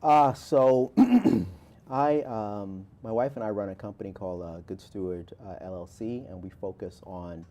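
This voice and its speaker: A deep-voiced man from Barbados in his forties, answering as a guest author. His tone is very warm and relaxed, and he talks in a measured, thoughtful way with lots of small pauses. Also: slight background noise. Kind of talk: interview answers.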